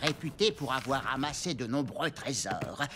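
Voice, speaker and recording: Fretful, animated cartoon pirate crew cook, acted out. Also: mid-range voice, moderate pace, a little hiss or hum, natural voice. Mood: intrigued. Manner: hesitant, wary, explanatory. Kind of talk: French animated adventure cartoon voice acting.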